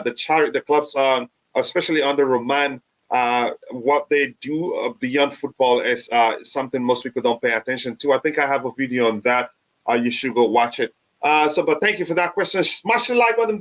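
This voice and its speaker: A man, hosting a live fan stream and reading viewer comments, halting with many fillers, his voice still touched by a cold. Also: fairly high pitch, fairly bright tone, poor audio. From Nigeria. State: recovering from a cold. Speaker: fan channel host.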